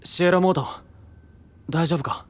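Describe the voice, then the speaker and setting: An actor playing a hot-blooded, worried younger-brother knight with rough, boyish, urgent speech in a fantasy audio drama. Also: male voice, fairly high pitch, fairly even intonation, moderate pace, lightly acted. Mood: worried, subdued. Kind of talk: anime-style fantasy audio drama acting.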